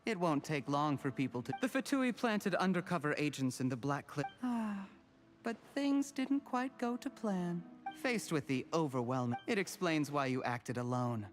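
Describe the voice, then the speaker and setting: An ambitious young game villain, coolly vengeful and bitter. His voice sits fairly high, with faint music playing behind it. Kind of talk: video game voice acting.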